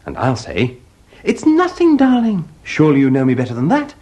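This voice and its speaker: An actor playing a flustered, cheeky husband in a British stage farce, with an English accent and a flippant, bantering manner. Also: male voice, a little high, wildly swooping pitch, normal speed, darkish tone, muffled recording. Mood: scheming, flustered. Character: flustered, cheeky young husband wriggling out of trouble.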